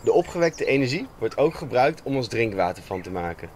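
A young Dutch man, a sailing skipper, reading a calm, matter-of-fact scripted voice-over. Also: medium pitch, brisk, a little hiss or hum. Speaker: skipper narrating a sailing documentary. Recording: faint music under the voice.

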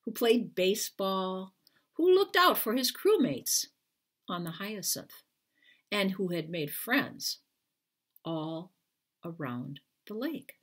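An older American woman, a children's author, speaking to viewers at home in an expressive, unhurried storytelling manner. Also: mid-range voice. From Iowa, United States. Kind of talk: picture book read-aloud and informal talk to viewers. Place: living room at home, indoors. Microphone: webcam at arm's length.

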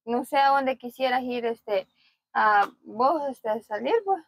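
A woman's voice: a little high, a little slow. A young woman with a Salvadoran accent playing a brazen cheating wife who demands money in an amateur rural skit. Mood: coy, agreeable. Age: young adult. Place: outdoors in a rural yard and pasture.

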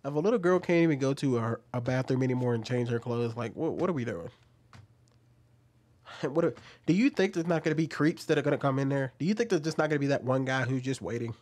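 A man in his thirties hosting casual commentary at home into a studio mic, talking with animated, questioning emphasis. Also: medium pitch, fast. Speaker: commentary host. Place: small room at home, indoors. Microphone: studio mic on an arm, wearing headphones.

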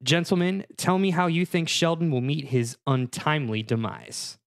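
A man's voice: a little high, steady tone, normal speed, dry recording, clean studio sound. A man hosting a podcast, introducing the show in a casual, conversational style. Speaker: podcast host.

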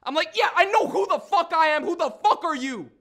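A man's voice: high voice, very animated, a little slow, bright, forceful tone, full character voice. An actor in his twenties playing a streetwise, brash, wounded young man in a theatrical monologue, with slangy, insistent, repetitive delivery. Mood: incredulous, agitated. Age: 20s. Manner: slangy, swearing, insistent, with repeated phrases.

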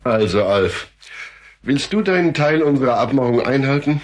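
An actor playing an earnest, slightly flustered middle-aged father in a German children's audio drama, husky and patient. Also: mid-range voice, expressive, normal speed, natural voice. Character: harried, well-meaning middle-aged family father.

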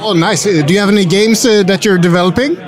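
A man's voice: sits high, fast talker, a faint crowd. A man hosting casual interviews at a busy indoor event, asking playful, jokey questions into a handheld mic. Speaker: host interviewer. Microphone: handheld mic held out to guests.

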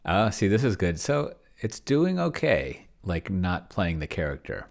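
A middle-aged man hosts a casual screen-share in a very low voice. His intonation moves around freely, with plenty of vocal fry. He rambles and mutters, thinking out loud as he reads and types. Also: very animated, a little slow. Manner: rambling, muttering to himself while reading and typing. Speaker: host, solo roleplayer testing an AI dungeon master. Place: indoor home room with shelves.

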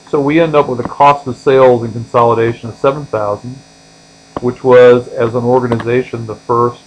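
Male tutorial instructor, mid-pitched voice, step-by-step explanation that trails off at phrase ends, faint mains hum underneath. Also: rough recording. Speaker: accounting instructor. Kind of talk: explanatory tutorial lecture, walking through on-screen examples.

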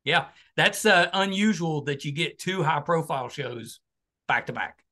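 Bright-voiced middle-aged podcast host. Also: male voice, a little high, expressive, measured pace. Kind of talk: casual interview chat.

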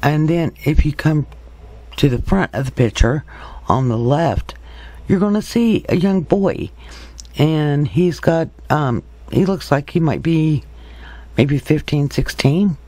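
A man narrating over a series of photos as a paranormal investigator, in a slow, halting way with pauses and restarts. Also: on the high side, darkish tone, no echo.

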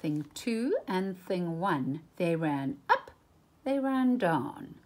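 A woman's voice: lowish voice, very animated, slow pace, a little mellow. A woman reading a children's picture book aloud with dramatic, emphatic phrasing, as a storytime reader.

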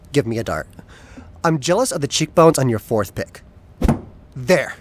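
A man's voice: medium pitch, big pitch swings, a little slow. A man playing a witty, flamboyant and loyal assistant in an audio drama, talking in a theatrical, playful way. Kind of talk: audio drama acting, conversational.

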